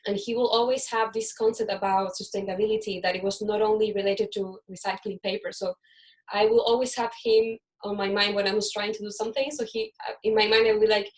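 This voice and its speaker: A woman's voice: medium pitch, flat delivery, brisk, low-quality recording. Brief: woman from Chile, host of a casual video-call panel, chatty, mid-range voice, restarts sentences. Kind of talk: casual online panel chat.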